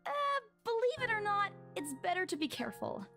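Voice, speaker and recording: A woman voicing an earnest, superstitious young real estate agent in a game, expressive and cautious. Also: very high-pitched, brisk, soft background music, full character voice. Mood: ominous, worried. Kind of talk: visual novel game voice acting.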